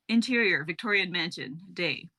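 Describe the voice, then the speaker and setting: A woman playwright reading the stage directions for her own scene in a relaxed, measured voice. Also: fairly low pitch, husky.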